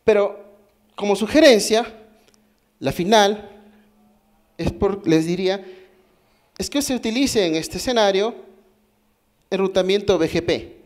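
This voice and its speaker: A man with a Peruvian accent speaks at a technical conference through a microphone. His voice sits a little high, and he explains step by step, pausing to put questions to the audience. He often breaks off and restarts his sentences mid-thought. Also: a little mellow. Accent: Peruvian Spanish. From Peru. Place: conference hall.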